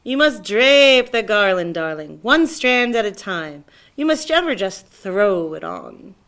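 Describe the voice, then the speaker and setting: A grand, eccentric great-aunt from a children's storybook, played by a woman reader. She is affectionate yet secretive, drawing words out theatrically. Her voice is bright and very animated in pitch, with an imperious air. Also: unhurried. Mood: grandly instructing. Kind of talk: children's storybook read-aloud.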